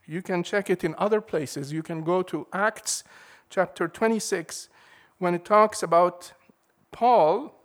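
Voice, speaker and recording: A man preaching a sermon in a measured, emphatic way, leaning hard on key words. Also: sits high.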